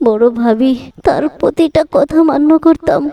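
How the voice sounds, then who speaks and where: High-voiced, sorrowful village mother, acted by a woman. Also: moderate pace, distant mic, highs cut off. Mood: sorrowful recounting. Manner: plaintive, pleading. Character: sorrowful, humble, pious middle-aged village mother-in-law. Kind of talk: cartoon voice acting.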